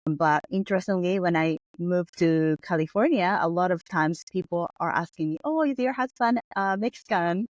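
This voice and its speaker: A woman's voice: lowish voice, moderate pace, hardly any pauses, no echo. A woman from Japan with a Japanese accent, a therapist guest on a podcast, speaking thoughtfully with many fillers and restarts. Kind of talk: podcast interview.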